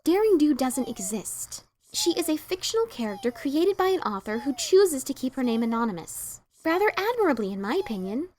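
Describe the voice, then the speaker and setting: A woman's voice: high voice, sing-song, brisk, darkish tone, a little hiss or hum. A woman voicing a sensible, scholarly young female cartoon pony, speaking in a dry, scolding, matter-of-fact way. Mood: exasperated, lecturing. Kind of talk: dramatic reading of a cartoon fan story.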